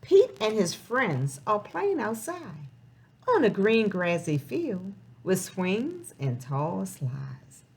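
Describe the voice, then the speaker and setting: A woman reading a picture book aloud to young children, expressive and sing-song, posing questions as she goes. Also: mid-range voice, slow pace, vocal fry, breathy edge. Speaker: children's storybook reader.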